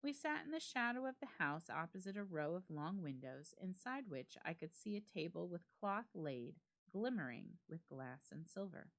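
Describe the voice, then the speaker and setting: Woman, slightly low pitch, highly varied intonation, measured formal storytelling, dramatic literary reading. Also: big pitch swings. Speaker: library staff member reading a story aloud.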